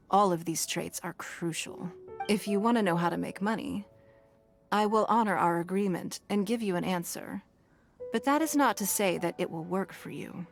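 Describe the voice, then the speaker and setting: A woman voicing a shrewd, wealthy female city leader who speaks in a measured, authoritative, formal way. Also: on the low side, creaky. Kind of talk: game cutscene voice acting.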